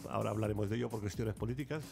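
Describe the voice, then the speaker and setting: A middle-aged man Palestine, calmly answering as an ambassador on a radio interview in a studio. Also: on the low side, very fast, gravelly, over music. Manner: explains at length, steady and courteous, with small restarts.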